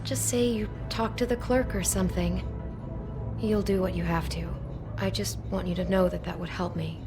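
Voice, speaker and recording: A woman's voice: on the low side, steady tone, normal speed, natural voice. An actress playing an anxious young female hotel clerk in a video game, speaking in a hesitant, defensive, pleading way. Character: anxious, proud-hearted young woman, hotel desk clerk hiding secrets. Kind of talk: naturalistic video game voice acting. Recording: music behind the dialogue.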